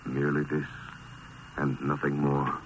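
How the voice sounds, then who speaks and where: A man's voice: extremely low pitch, completely flat delivery, unhurried. A man narrating dramatic verse in a solemn, theatrical 1950s radio style. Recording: noisy old 1950s radio recording.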